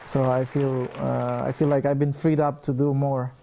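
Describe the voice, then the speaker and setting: A man in his twenties from El Salvador, a native Spanish speaker, talking in a reflective, soft-spoken way in an interview. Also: medium pitch, flat delivery, moderate pace, creaky, some chatter behind, a little hiss or hum. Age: 20s.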